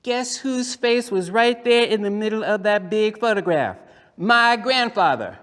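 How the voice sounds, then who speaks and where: A man voicing a lively, cheeky young boy puppet, telling a story to children in an emphatic, conversational theatrical style with deliberate pauses. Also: high-pitched. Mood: amazed. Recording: echoey hall, long reverb.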